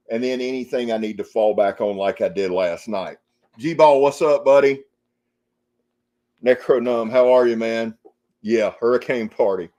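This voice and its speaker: A man's voice: lowish voice, dark tone. A man casually chatting on a live stream, reading out viewer comments and greeting people by name in a rambling, folksy way. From Jacksonville, Florida area. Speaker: outdoor channel host on a live stream.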